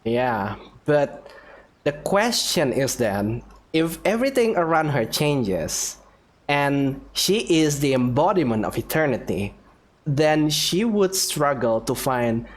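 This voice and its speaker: Casting: female let's-player, very deep voice, lively intonation, casual amused chat over background game music. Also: slow pace. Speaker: gaming video creator. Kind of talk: casual let's-play commentary.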